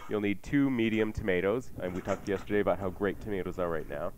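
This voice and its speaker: A man's voice: sits low, fast, noisy background. A man hosting a radio cooking show, chatting informally as he walks through recipes. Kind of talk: conversational recipe presentation. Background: music.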